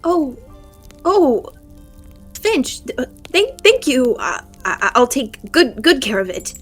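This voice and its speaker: A woman voicing a timid, earnest male adventurer in an improvised tabletop audio drama, speaking in halting, awkward stammers. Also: high-pitched, very animated, breathy edge, pitched above the performer's own voice, exaggerated voice. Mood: flustered, grateful. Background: music.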